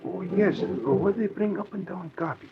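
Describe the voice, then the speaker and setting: An actor playing a loyal, eager valet who speaks broken English in an old radio drama. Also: male voice, high-pitched, moderate pace. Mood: eager, deferential. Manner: broken English, clipped foreign-sounding phrasing. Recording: muffled, faintly noisy old radio recording.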